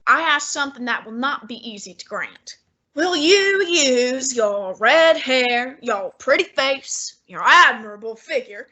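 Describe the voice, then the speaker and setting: A woman playing a pompous male genius detective, using precise and measured diction in a student radio drama. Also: high voice, pushed and bright, lo-fi sound, full character voice. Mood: wry. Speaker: student actor.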